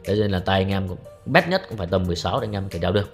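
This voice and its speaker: A young man talks quickly through a watch review in a low voice. His delivery is chatty salesman patter aimed at his viewers, with faint music playing underneath. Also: sing-song. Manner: brisk salesman patter, calls viewers "brothers". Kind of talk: product review with close-up narration.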